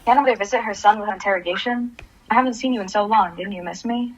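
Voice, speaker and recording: A woman's voice: medium pitch, fast talker, dull audio, lightly acted. A woman playing a troubled, grieving divorced mother in a radio play, subdued and brooding. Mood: needy.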